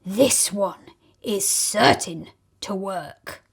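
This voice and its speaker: A woman voicing a greedy, scheming male crocodile, talking slyly in a low, half-whispered gloat. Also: slow, vocal fry, heavily acted.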